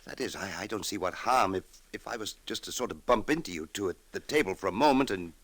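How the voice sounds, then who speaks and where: A man's voice: deep voice, lively intonation, quick pace, fairly bright tone. An actor playing a timid, anguished middle-aged father in old radio drama style, hesitant and full of self-doubt. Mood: anxious. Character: timid, anguished ageing father hiding his identity. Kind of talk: 1940s-50s radio drama acting.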